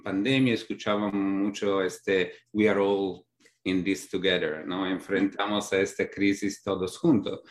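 Thoughtful low-voiced migrant academic man. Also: level tone. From Europe. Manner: measured, thoughtful, many fillers and restarts. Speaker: academic guest, migration researcher. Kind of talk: interview answers, explanatory.

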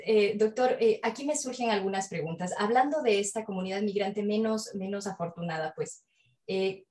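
A woman host conducts the interview in a polite, formal manner. She summarizes what the guest said before framing her next question, with a medium-pitched voice. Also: dark tone. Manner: polite, formal, brief backchannels.

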